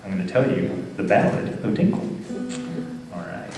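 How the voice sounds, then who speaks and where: A man's voice: low-pitched, very animated, measured pace, vocal fry, mellow, soft background music. A man with a folksy Texan drawl giving a playful, dramatic live poetry reading for children and families. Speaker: storytelling poet performer. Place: live reading in an echoey room. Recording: echoey room, distant mic, faint noise.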